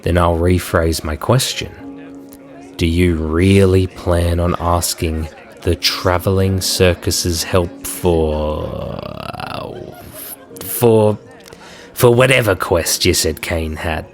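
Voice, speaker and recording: A man's voice: low voice, fairly even intonation, takes it slowly, soft background music. A man voicing a skeptical, protective crocodile in an audio drama, with a wry, colloquial way of talking. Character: worried, skeptical male crocodile, protective best friend. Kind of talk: fan audio drama acting.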